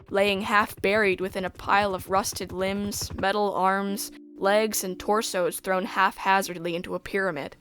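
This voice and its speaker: A woman narrating a sci-fi visual novel in the first person, measured and descriptive. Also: medium pitch, a bit bright.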